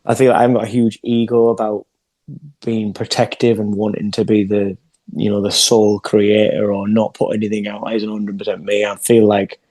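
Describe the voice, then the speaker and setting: A man's voice: fairly low pitch, monotone, brisk, darkish tone, dry recording. A young English man, a singer-songwriter, chatting in an interview over a video call and often restarting his sentences. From England. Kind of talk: casual interview chat.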